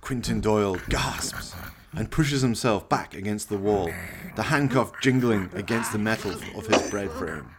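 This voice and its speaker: A man's voice: lowish voice, unhurried, heavy background noise. A man giving theatrical, wry scripted narration for a horror radio play. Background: music.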